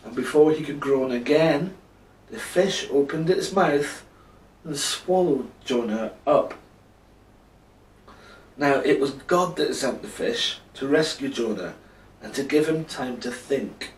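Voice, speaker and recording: Man, thirties, slow delivery, bedtime Bible storyteller, distant mic, roomy echo. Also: mid-range voice. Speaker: storyteller for a church. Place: indoor room, seated before a backdrop. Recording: roomy, echoing, distant microphone.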